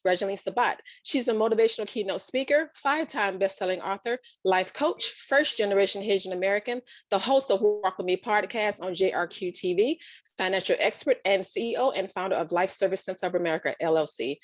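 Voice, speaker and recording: A woman's voice: medium pitch, dry recording. Upbeat woman emceeing an online event. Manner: upbeat, laughing, enthusiastic introductions. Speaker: master of ceremonies. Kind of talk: hosting an online conference.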